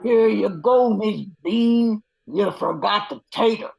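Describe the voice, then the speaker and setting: A man's voice: high-pitched, slow pace, vocal fry, darkish tone, slight background noise, exaggerated voice. A man playing a lumbering, good-hearted Cajun swamp werewolf in an amateur radio play. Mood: friendly, folksy.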